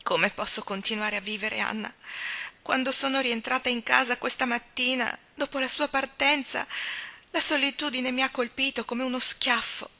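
A woman's voice: medium pitch, fairly even intonation, brisk, pushed and bright. A woman reading a story as an anguished, restless young woman who speaks in vehement, exclaiming bursts. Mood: feverish despair. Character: anguished young woman confessing a hopeless secret love.

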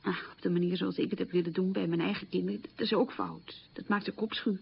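An actress playing an opinionated, weary middle-aged schoolteacher who confides frankly in a conversational radio monologue. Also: female voice, fairly low pitch, fairly even intonation, quick pace, gravelly, muffled recording, a little hiss or hum. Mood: indignant. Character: opinionated, weary schoolteacher mother marked by the war.

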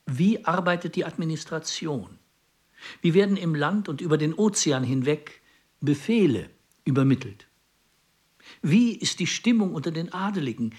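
An actor playing a courteous, shrewd and inquisitive president in a radio drama, speaking in a measured, probing way. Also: male voice, a little high, darkish tone, husky. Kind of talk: German radio drama acting.

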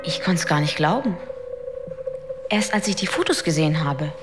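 A woman's voice: sits low, lively intonation, moderate pace, over music. An actress playing an introspective, entranced woman recalling her experiences in a German radio drama. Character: introspective, entranced female swarm member. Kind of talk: German radio drama acting, hushed recollection.

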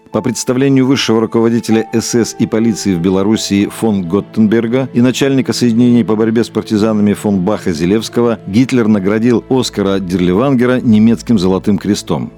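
Dark-voiced, low male documentary narrator. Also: fairly quick, hardly any pauses, soft background music. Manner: matter-of-fact, formal. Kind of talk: scripted documentary-like narration in an audio drama.

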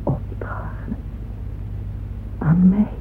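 A woman's voice: drawn-out words, in a whisper. An actress playing an ageing, devoted and wistful wealthy mother in a radio play, speaking emotively and intimately. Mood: moved, reverent. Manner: emotive, intimate, with hushed asides. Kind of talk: dramatic radio play monologue. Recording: muffled phone-like old radio recording, music behind.

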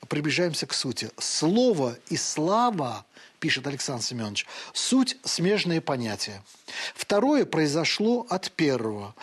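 Middle-aged male TV host, husky slightly high voice, earnest, measured lecture. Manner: earnest, measured, reads quotations aloud slowly. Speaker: TV program host. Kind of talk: talk show lecture on word origins.

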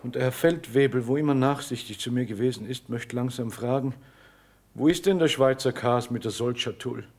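A young actor playing a naive, dutiful soldier, earnest and simple. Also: male voice, medium pitch, normal speed, darkish tone, slightly rough. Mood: earnest, worried. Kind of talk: stage-style radio drama acting.

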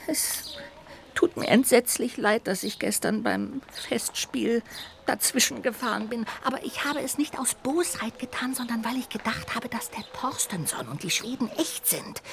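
A woman voicing a small, contrite, childlike ghost in a children's radio play, earnest, lamenting and expressive. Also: fairly high pitch, noisy background. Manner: expressive, lamenting, swinging between exclamations and hushed confiding.

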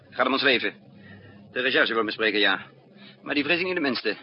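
An actor playing a resourceful fugitive spy in a 1960s Dutch radio drama, with a commanding, clipped manner. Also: male voice, medium pitch, animated, natural voice. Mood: tense, confiding. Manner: commanding, clipped orders alternating with measured narration. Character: resourceful, urgent male fugitive spy with lost memory. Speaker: narrator and lead actor. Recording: muffled, noisy 1960s radio recording.

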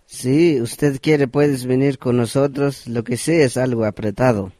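A man's voice: mid-range voice, steady tone, measured pace, dark tone, dry recording, studio quality, close to an everyday voice. A young man with a Guatemalan accent acting a welcoming village friend in an amateur radio drama. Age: young adult.

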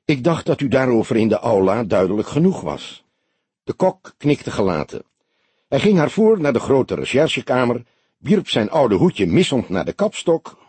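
A man's voice: medium pitch, darkish tone, breathy edge, no echo. A man reading a crime novel aloud as scripted audiobook narration.

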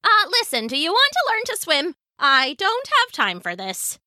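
A woman's voice: very high voice, huge pitch swings, moderate pace, bright, forceful tone, no echo, clean studio sound, pitched above the performer's own voice, exaggerated voice. A woman voicing a hearty retired female pirate sailor with a salty, exclamatory way of talking, in a storybook narration. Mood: exasperated.

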